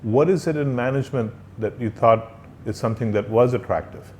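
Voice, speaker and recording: A man interviewing a guest, asking questions politely and deliberately with some hesitant phrasing. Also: medium pitch, a little mellow, slight background noise. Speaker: interviewer, professor.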